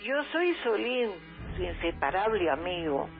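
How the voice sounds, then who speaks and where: A woman's voice: fairly low pitch, very animated, measured pace. A woman voicing an eager little boy, the sidekick of a hero in a radio serial. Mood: bright, eager. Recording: tinny phone line with music behind.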